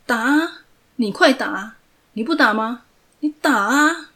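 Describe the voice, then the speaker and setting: A woman voicing a cruel, mocking male villain who taunts slowly. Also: medium pitch, a little mellow, natural voice. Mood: goading. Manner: slow, taunting, gloating delivery.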